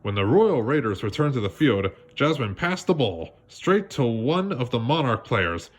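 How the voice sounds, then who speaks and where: Expressive man reading a children's story. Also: mid-range voice, normal speed, breathy edge. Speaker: storybook reader. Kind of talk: read-aloud children's story narration.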